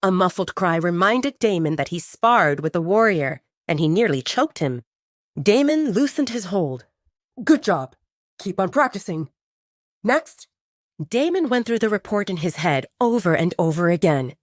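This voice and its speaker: A woman reading a romance story as scripted audiobook narration, in an expressive, dramatic storytelling manner. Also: on the low side, normal speed, no room sound, studio quality.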